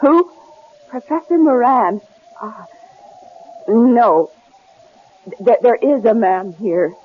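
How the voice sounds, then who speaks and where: An actress playing a desperate, devoted wife, pleading and halting, in old-time radio drama style. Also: female voice, on the high side, animated, breathy edge, phone-quality sound, exaggerated voice. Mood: shaken. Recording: thin, noisy old radio broadcast.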